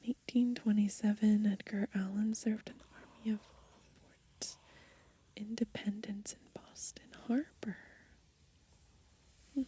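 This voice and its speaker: Breathy whispering woman, slow ASMR. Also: medium pitch, rough recording. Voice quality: breathy, mostly unvoiced whisper. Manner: gentle, slow, hushed, pausing between short phrases. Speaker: ASMR host. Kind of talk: soft-spoken whispered ASMR, browsing and reading from a book. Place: outdoors, lying in grass in the countryside.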